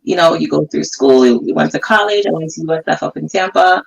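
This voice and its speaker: A woman's voice: low voice, a little fast, vocal fry, breathy edge. A woman from Canada, a guest business owner, chatting casually about her company with plenty of fillers, heard over a distant remote mic. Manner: relaxed and chatty, many fillers. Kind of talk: casual chat.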